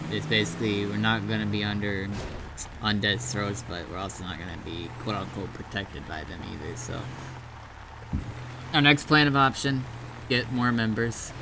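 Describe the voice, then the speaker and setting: A laid-back man with a fairly low voice talks casually as he plays an online roleplay game. His speech is informal and easygoing, with faint game ambience behind him. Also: background noise. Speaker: player in an online zombie survival roleplay. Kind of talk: casual gaming chat.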